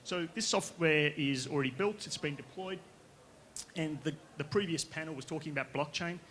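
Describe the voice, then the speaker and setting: A man from Western Australia with an Australian accent, giving a conference pitch as a company founder, casual and conversational, often checking in with the audience. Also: moderate pace, a bit bright. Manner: casual, conversational, with frequent tag questions. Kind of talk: conference presentation. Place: conference stage.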